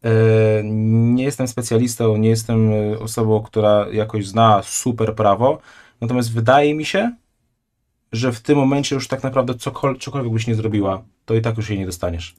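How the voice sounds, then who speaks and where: A man's voice: fairly low pitch, moderate pace. Male streamer, thirties, blunt and indignant reaction commentary, small room. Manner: blunt, indignant, often drops to a quiet disgusted tone.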